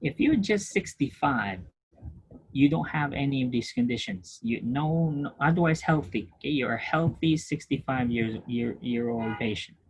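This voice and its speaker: A man teaching a nursing class, explaining step by step, often checking that students follow and clearing his throat. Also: medium pitch, animated, normal speed, low-quality recording. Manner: patient, repetitive, checks understanding with questions; frequent throat clearing and restarts. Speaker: nursing instructor. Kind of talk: recorded lecture over screen share.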